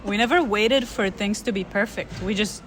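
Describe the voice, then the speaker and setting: A woman's voice: mid-range voice, normal speed, a bit bright. Agreeable young woman, noisy recording. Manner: agreeable, backchannels a lot, then states points plainly. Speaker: co-host. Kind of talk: casual chat.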